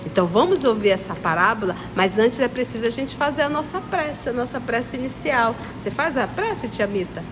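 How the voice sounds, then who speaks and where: A woman's voice: a little high, lively intonation, a little fast, hardly any pauses. A woman with a northern Brazilian accent from Manaus teaches a children's lesson, explaining step by step, repeating points and putting questions to her listeners. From Manaus, Amazonas, Brazil. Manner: didactic, repetitive, questioning listeners. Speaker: children's religious study teacher. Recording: long reverberant echo, music behind. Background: clear background music.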